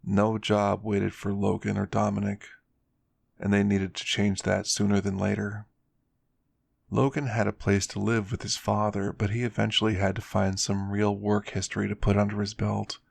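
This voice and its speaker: A man's voice: fairly low pitch, creaky, no echo. A man narrating a scripted story in an ASMR style.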